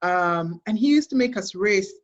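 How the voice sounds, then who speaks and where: A woman's voice: medium pitch, expressive, moderate pace, muffled recording. A young adult Kenyan woman with a Kenyan accent, a guest talking enthusiastically in a casual interview, with frequent laughs and restarts. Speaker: guest, sports consultancy CEO.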